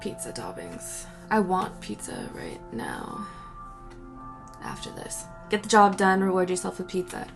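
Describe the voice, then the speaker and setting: A woman's voice: lowish voice, huge pitch swings, measured pace, gravelly, music in the background. A young woman answering viewer questions in a rambling, casual chat, breaking off mid-sentence and laughing between thoughts.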